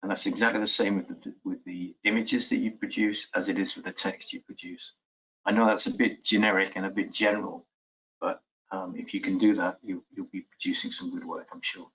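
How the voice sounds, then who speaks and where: A very deep-voiced man, a course tutor, gives casual advice. He rambles and reflects as he goes, and restarts his sentences often. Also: fairly even intonation, brisk, fairly bright tone, husky, low-quality recording.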